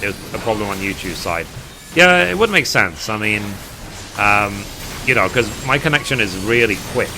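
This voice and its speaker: A man's voice: lowish voice, animated, normal speed, low-quality recording. A man with a British accent chatting casually and at rambling length with his live stream viewers as a gaming streamer. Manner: rambling, reading chat aloud and replying. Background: music.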